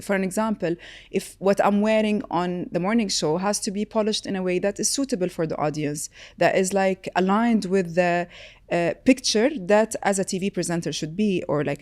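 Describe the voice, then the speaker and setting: A woman in her forties, a chef, talking as a podcast guest in quick animated bursts and laughing easily. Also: medium pitch. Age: 40s. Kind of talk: casual interview chat.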